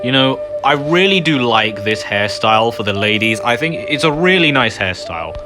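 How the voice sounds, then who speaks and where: A man's voice: medium pitch, animated, normal speed, over loud music. A man giving casual, offhand commentary over a game playthrough. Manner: offhand, quiet asides. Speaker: gameplay commentator.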